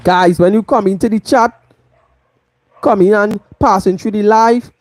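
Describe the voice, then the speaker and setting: A woman's voice: medium pitch, a little slow, darkish tone, no echo. A Trinidadian woman hosting a live football match review, stressing her points by repeating them. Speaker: football channel host.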